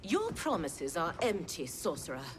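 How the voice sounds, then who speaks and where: A distrustful warrior guard from a fighting game, very low in pitch yet strongly inflected. She is formal and scornful, with music clearly behind her. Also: wildly swooping pitch, moderate pace. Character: distrustful, stern woman warrior guarding a chamber. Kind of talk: dramatic video game voice acting.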